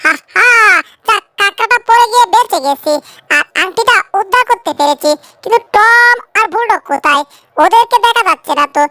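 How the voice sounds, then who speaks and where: A tiny, plucky cartoon animal voice, squeakily high and bright. It is cheeky and bold. The recording is dry and close. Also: female voice, animated, a little fast, no room sound, full character voice. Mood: bold, gleeful. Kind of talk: cartoon fan dub.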